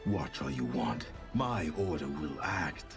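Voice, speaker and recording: Game villain: menacing ninja master, extremely deep, deliberate delivery, cold contempt. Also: male voice, sing-song, music in the background, full character voice. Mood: cold, taunting. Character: menacing, proud male ninja master of shadow order. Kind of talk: scripted game character voice lines.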